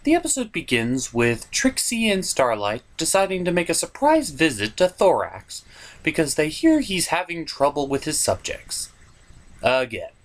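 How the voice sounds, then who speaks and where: A man's voice: on the high side, very animated, moderate pace. A man narrating a scripted comedic review as host, in a sarcastic, theatrical way.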